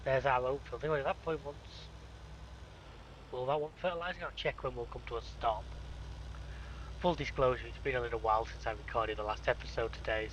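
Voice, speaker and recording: A man with a cold and a blocked nose talks casually over a farming game, muttering and mocking himself as things go wrong. His voice sits at an ordinary pitch for a man. Vehicle rumble from the game runs clearly underneath. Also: a bit bright, low-quality recording. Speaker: gaming YouTuber. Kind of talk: casual gameplay commentary. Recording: game sounds and vehicle noise behind. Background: farming game vehicle noise.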